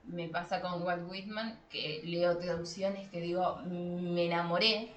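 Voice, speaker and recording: An expressive, emphatic woman with an Argentine accent, talking casually as a podcast host. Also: on the low side, takes it slowly. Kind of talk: casual podcast discussion about translation. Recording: echoey room with faint noise.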